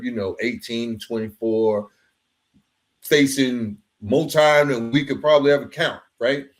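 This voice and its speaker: A man hosts a casual podcast conversation in a medium-pitched voice. His talk is informal and earnest, sprinkled with "man" and "bro" as he speaks to his guest and listeners. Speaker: podcast host.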